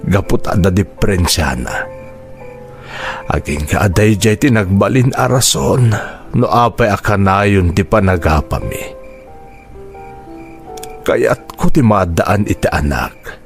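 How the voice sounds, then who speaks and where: Actor as a low, slow, remorseful father. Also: animated, faint music behind. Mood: solemn, confessional. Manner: earnest, halting, pleading. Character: remorseful, patient father confessing to his son. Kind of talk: Ilocano audio drama acting.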